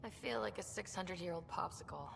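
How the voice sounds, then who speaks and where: A wry, low-voiced young recon specialist. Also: female voice, fast talker, creaky, background noise. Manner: dry, joking, casual. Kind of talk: video game voice acting.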